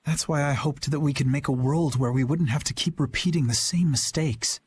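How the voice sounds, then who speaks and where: A man's voice: a little high, a little hiss or hum, lightly acted. A man playing an earnest young knight captain. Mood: solemn. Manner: measured and reflective, rising to a rousing rally. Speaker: knight commander. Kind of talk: video game voice acting.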